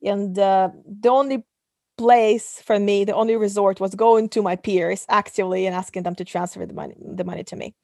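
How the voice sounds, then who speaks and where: A young adult woman from Kazakhstan answers interview questions as a company head. She explains things step by step with plenty of ums and uhs. Her voice sits at a middle pitch and sounds dry and close, with no room echo. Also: steady tone, normal speed, vocal fry, mellow. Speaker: startup CEO guest.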